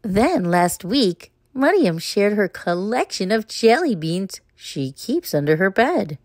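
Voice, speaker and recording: A woman reading a children's picture book aloud, narrating as the story's child with expressive, deliberate phrasing. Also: medium pitch, no room sound, clean studio sound. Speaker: storybook reader.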